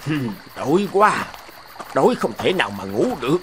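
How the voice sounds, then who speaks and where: A man's voice: medium pitch, very animated, noisy background, close to an everyday voice. Man playing a sly, swooping cartoon fox villain. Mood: hungry, scheming. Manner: gloating, taunting, drawn-out swooping delivery. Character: sly, greedy, menacing hungry male fox villain. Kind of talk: children's cartoon voice acting. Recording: loud music behind the voice.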